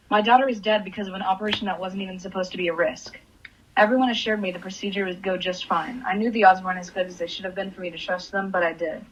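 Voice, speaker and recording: A woman's voice: mid-range voice, dull audio, close to an everyday voice. A woman playing a bitter, desperate grieving mother in an anguished, confessional radio drama performance. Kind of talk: radio drama acting with first-person monologue.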